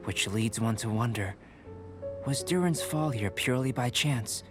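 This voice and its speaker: Young male alchemist for fantasy game, male actor: calm, analytical, formal diction, medium pitch, music underneath. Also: a little slow, lightly acted. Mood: musing. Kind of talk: video game dialogue acting.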